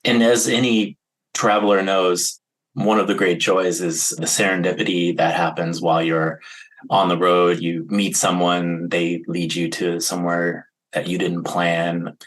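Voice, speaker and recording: A man's voice: low-pitched, little pitch movement, measured pace, a bit bright, rough recording. A man hosting an interview, curious and recapping his guest's book. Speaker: interviewer host. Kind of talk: conversational interview.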